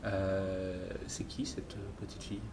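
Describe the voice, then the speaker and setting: A man's voice: low voice, completely flat delivery, measured pace. A man asking interview questions conversationally, hesitant and searching for his words. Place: book festival. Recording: noisy festival ambience. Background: clear ambient noise.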